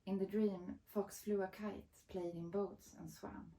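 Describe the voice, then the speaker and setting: A woman, reading a picture book aloud as expressive storybook narration. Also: mid-range voice, measured pace, roomy sound, low-quality recording. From Sweden. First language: Swedish.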